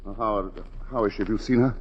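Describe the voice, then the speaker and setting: An actor playing a distraught, devoted husband, halting and emotional, in a 1940s radio drama. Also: male voice, lowish voice, steady tone, husky. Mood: weary, anxious. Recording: muffled 1940s radio recording.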